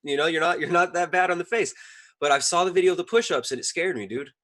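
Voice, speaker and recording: A man's voice: fairly high pitch, quick pace, a bit bright, dry recording. A man debating casually as a panelist on a live online show, earnest, emphatic and opinionated.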